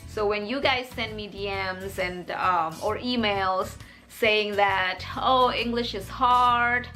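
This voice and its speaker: A woman's voice: medium pitch, pushed and bright. A young woman from Indonesia speaking English with an Indonesian accent, chatting openly and reflectively to her viewers in a casual video. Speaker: English teacher vlogger. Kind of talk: casual talk to camera.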